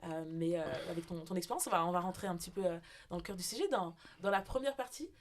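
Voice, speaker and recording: A woman's voice: on the low side, fast talker, slight background noise. A woman hosting a casual podcast interview, friendly and hesitant, with many fillers. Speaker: podcast host.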